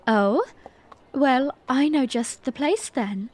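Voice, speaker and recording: A woman's voice: high-pitched, slow pace, a little mellow, a little hiss or hum. A woman playing a young, playful, romantic princess who tells her story in a genteel, tender way in a fan audio drama. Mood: playful, warm.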